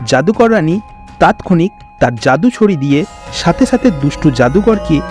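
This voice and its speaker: A man's voice: on the high side, sing-song, normal speed, music in the background. A man narrating a scripted fairy tale for a cartoon as storyteller.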